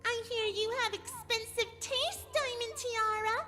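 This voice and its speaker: An extremely squeaky female cartoon pony speaks with sugary, sing-song menace. She is cheerful and sadistic at once. Her voice rings in long reverb over clearly audible music. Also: fairly even intonation, unhurried. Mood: sweetly cruel. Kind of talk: dramatic fan fiction audio drama.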